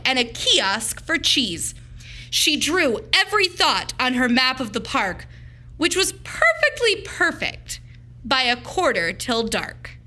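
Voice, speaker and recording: Animated, high-voiced woman reading a storybook. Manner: animated, deliberate, emphatic storytelling. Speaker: teacher reading a storytime to children. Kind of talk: expressive children's picture-book read-aloud.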